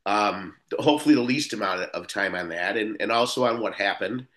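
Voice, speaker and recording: A man's voice: fairly low pitch, brisk, a bit bright. A man in his fifties from Buffalo, New York, sharing his story as a speaker at a recovery meeting in a rambling way, with many restarts and fillers. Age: 50s. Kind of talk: personal recovery talk.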